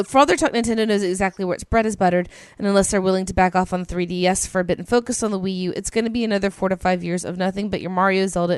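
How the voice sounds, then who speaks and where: A woman's voice: mid-range voice, clear, resonant tone, no room sound, studio quality. A woman co-hosting a podcast, reading listener emails aloud in a casual, gushing way that is peppered with swearing and ums. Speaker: podcast co-host.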